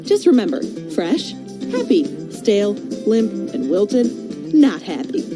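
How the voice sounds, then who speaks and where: A woman's voice: medium pitch, very animated, slow pace. An American woman announcing a scripted radio commercial in short, punchy phrases. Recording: music bed behind voice.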